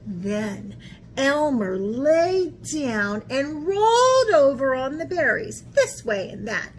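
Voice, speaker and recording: Woman art teacher for kids' storytime, high voice, unhurried animated delivery, slightly noisy recording. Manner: animated, asks listeners questions. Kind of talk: children's picture-book read-aloud.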